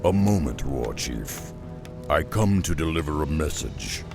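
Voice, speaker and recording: Half-orc beastmaster, game cutscene, extremely deep, gravelly, slow, grave, terse, loud orchestral music behind. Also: male voice, sing-song, full character voice. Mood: solemn. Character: gruff half-orc beastmaster, solitary wanderer.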